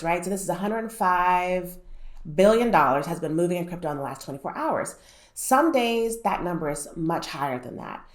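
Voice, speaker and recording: A young woman with a lowish voice speaks straight to her audience in a relaxed, conversational way. Her voice is slightly nasal from a cold she is still getting over, and she keeps checking in with "right?" and "um" as she explains. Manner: conversational, frequent "right?" and "um" asides. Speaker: finance YouTuber. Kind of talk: casual explainer talk to camera.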